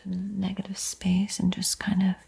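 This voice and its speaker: A woman whispers painting instructions in a soothing, encouraging ASMR style, with a breathy, airy voice. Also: medium pitch, completely flat delivery, moderate pace, warm tone. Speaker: art tutorial host.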